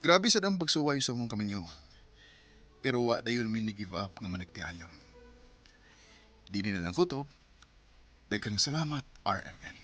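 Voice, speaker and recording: A man's voice: fairly low pitch, animated, moderate pace, long pauses, fairly bright tone, slight background noise. An actor playing an emotional, earnest husband and father in a Filipino radio drama, with a Cebuano accent. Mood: grateful, tender. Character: grateful, troubled husband and father.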